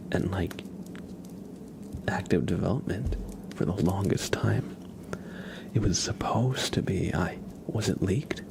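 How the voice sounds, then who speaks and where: A man hosting a live ASMR stream, chatting casually and haltingly in a soft, breathy whisper with a relaxed, musing manner. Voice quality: soft, breathy whisper with some creaky low voicing. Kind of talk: whispered ASMR livestream chat.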